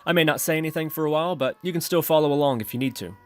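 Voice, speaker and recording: A man narrating an instructional screencast as the host of a software tutorial. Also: fairly high pitch, rapid-fire, soft background music.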